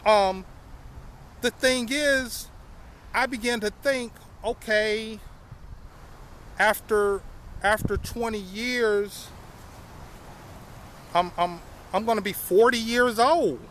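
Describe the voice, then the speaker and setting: A man's voice: high-pitched, takes it slowly, pauses often, a bit bright, slight background noise. A man in his fifties telling his life story casually to viewers, often repeating phrases and calling them y'all. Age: 50s. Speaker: vlogger.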